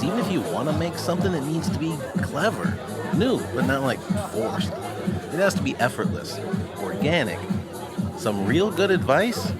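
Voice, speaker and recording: An actor playing a cynical, blunt, know-it-all film buff in a conversational indie drama. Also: male voice, fairly high pitch. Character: condescending, cynical film-buff man giving jaded advice.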